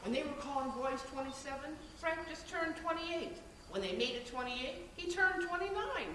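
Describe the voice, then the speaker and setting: A woman in an amateur stage play acting a warm, bossy, meddling middle-aged mother who scolds and coaxes in a projected voice. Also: high-pitched, expressive, normal speed. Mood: chatty teasing. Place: college theatre stage. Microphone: far camera. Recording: distant camera, echoey theatre, noisy.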